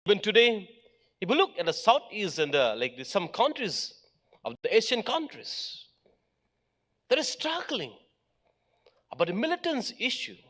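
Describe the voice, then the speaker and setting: Animated man giving a speech. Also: high voice. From Meghalaya, India. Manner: emphatic, lists points in short phrases. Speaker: official speaker at an education event. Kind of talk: formal speech to an audience.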